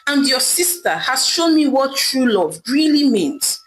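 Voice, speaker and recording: A woman's voice: sits high, sing-song, unhurried, voice pushed up, poor audio. A woman voicing an angry, fed-up husband. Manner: shouting angrily. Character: wronged husband, fed up and fuming.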